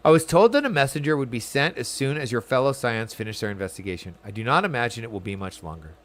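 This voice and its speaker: A man's voice: mid-range voice, expressive, voice pushed down, lightly acted. Man reading as a formal, courteous fantasy officer. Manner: measured, archaic, formal. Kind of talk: reading game dialogue aloud.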